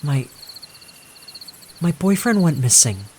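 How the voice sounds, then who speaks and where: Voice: medium pitch, sing-song, slow pace, a little mellow, slight background noise, voice pushed up. A narrator voicing a frightened young woman who pleads urgently in a shaken, cracking voice. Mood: shaken, insistent.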